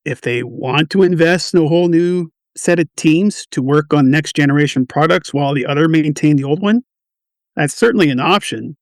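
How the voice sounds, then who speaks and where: Man acting as stressed, higher-voiced QA manager. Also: normal speed, dry recording, clean studio sound, lightly acted. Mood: wary. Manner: touchy, sighing, wry. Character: Corey, stressed, defensive male quality assurance manager. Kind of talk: amateur radio play dialogue.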